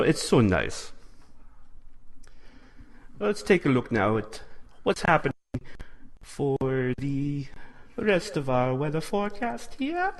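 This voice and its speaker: A man's voice: a little high, fairly bright tone, full character voice. A man voicing a bumbling male weatherman doctor with a put-on German-sounding accent, stammering and overly formal. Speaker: comic weatherman.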